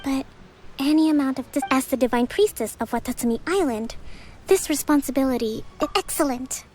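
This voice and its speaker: A woman playing a composed, gentle young priestess leader in a video game, speaking in a formal, articulate, diplomatic manner. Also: high voice, a little fast, soft background music, lightly acted. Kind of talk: video game voice acting, measured dialogue.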